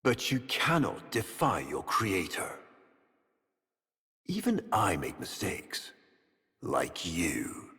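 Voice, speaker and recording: In a long, echoing hall, a cruel aristocratic video game villain with a low voice. His delivery is slow and sweeping in pitch, haughty and theatrical, every formal phrase laid down with silky menace. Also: huge pitch swings, fairly bright tone, full character voice. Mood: bitter, menacing. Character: cruel, grandiose aristocratic male Executor villain, silkily menacing. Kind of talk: dramatic video game character acting. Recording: long echoing hall reverb, some noise.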